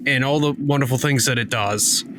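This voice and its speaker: A man's voice: medium pitch, brisk, noisy background. A man playing a tabletop roleplaying game, reacting with exaggerated disbelief. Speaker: player. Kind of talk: casual roleplay banter.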